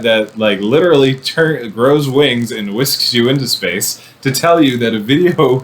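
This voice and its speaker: A man giving a casual, rambling movie review with wry, animated asides. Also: medium pitch, slight background noise. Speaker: film commentator.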